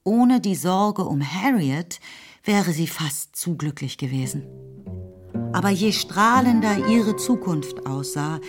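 A woman narrating a literary radio play, telling the story in a measured, articulate way. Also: fairly low pitch, animated. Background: music.

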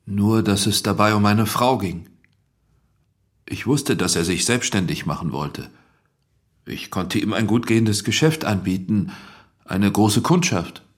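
An actor playing a weary accused husband in a radio drama, speaking in a subdued, halting way. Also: male voice, fairly low pitch, lively intonation. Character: weary accused Italian husband under questioning. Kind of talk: German radio drama acting.

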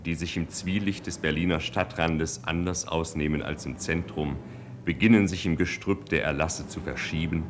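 A man narrating a radio play in a formal, declamatory documentary style. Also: deep voice. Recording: clearly noisy recording with ambience.

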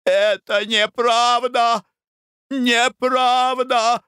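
A man voicing a hysterical, sobbing older noblewoman who wails and shrieks in a very high voice. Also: level tone, drawn-out words, pushed and bright, clean tone, dry recording, clean studio sound, heavily acted. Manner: wailing, shrieking.

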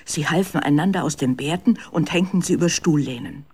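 A woman's voice: low voice, a little fast, a little mellow, slightly rough. A woman narrating a children's radio drama as a scripted storyteller.

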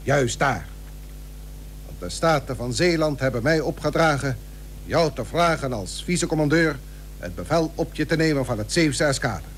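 Male actor as grave merchant statesman, fairly high voice, persuasive, formal archaic diction, radio drama, old humming recording. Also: animated, normal speed, slight background noise, natural voice. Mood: urging. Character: Lampsens, grave 17th-century merchant shipowner and statesman, persuasive. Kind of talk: Dutch historical radio play acting.

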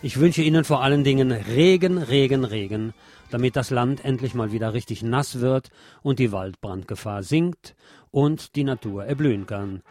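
A man's voice: mid-range voice, moderate pace, a little mellow. A man hosting a radio programme, presenting and reading aloud in a formal, courteous manner. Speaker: radio show host. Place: radio broadcast.

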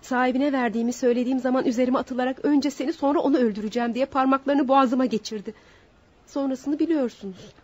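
High husky accused woman, defiant and guilt-ridden, dramatic radio play delivery. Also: quick pace, darkish tone. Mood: remorseful, confessing. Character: defiant, remorseful woman on trial for killing her husband. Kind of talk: Turkish radio theatre acting. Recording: muffled lo-fi radio drama recording.

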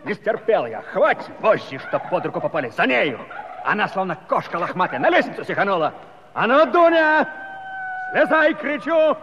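Aggrieved Cossack husband, quick temper, highly animated, comic musical, dull reverberant recording, faint noise. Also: male voice. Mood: vengeful storytelling. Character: aggrieved, hot-tempered Cossack husband defied by his wife. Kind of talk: theatrical comic musical monologue.

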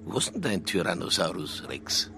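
A man playing a cynical, heavyset Bavarian police detective with a dry, deadpan delivery in a radio crime drama. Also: deep voice, normal speed, vocal fry, exaggerated voice. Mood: dry, playful. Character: fat, hard-drinking, cynical male police detective, gruffly deadpan. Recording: roomy sound, faint background noise.

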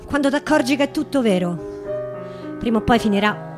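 A woman's voice: on the high side, expressive, moderate pace, over music, natural voice. An actress playing an anguished working-class woman in wartime, with a Roman accent and an earthy, theatrical delivery. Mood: haunted, sorrowful. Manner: earthy, emotive dialect delivery. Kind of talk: theatrical dramatic monologue and dialogue.

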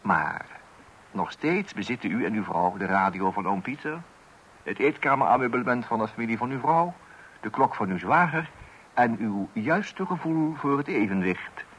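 A man's voice: mid-range voice, lively intonation, normal speed, a bit bright, natural voice, rough recording. An actor playing an exasperated father of small children in a Dutch radio play, hesitant and blustering, with wry asides. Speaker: narrator addressing the listener. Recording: hissy old radio recording.

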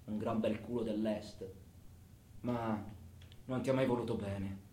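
A man's voice: fairly low pitch, steady tone, measured pace, mellow, natural voice. An actor playing a taunting, possessive male criminal in a theatrical monologue, with a sneering, foul-mouthed, volatile manner. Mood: needling, nostalgic. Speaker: stage actor. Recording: echoey room, music under the voice.

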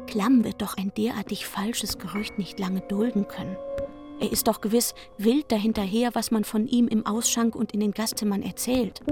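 A woman's voice: medium pitch, close to an everyday voice. An actress playing an aggrieved young chambermaid in a literary radio play, insistent and indignant as she pours out her complaints.

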